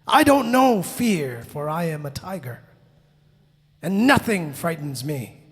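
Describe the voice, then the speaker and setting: A man's voice: a little high, very animated, slow, husky, heavily acted. A man acting out a proud, theatrical tiger in a reverberant hall. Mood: proud, menacing. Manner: boastful, pompous, dramatic. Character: great proud tiger, male, mighty yet cowardly.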